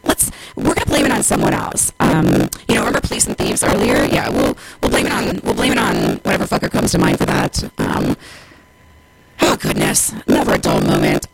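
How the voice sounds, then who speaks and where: A radio host from the United States rambling casually and wryly between songs, with the voice broken up by a robotic, glitching digital distortion. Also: creaky. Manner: wry, sardonic, exasperated, swearing. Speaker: radio show host and podcaster. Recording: noisy, garbled low-bitrate streaming audio. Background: harsh interference noise.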